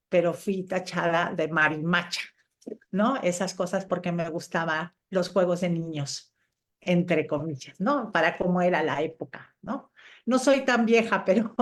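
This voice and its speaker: A middle-aged woman from Chile and Mexico answering casually in an interview, telling anecdotes in a conversational way. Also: fairly low pitch. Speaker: interviewed scientist guest.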